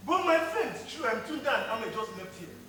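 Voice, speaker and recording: A polite but proud young graduate played by a man on stage, pleading in a high, bright voice. His delivery is deferential and animated. It is heard from a distance in a very echoey space. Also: moderate pace, exaggerated voice. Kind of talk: live stage acting. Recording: echoey stage recording from afar, faint noise.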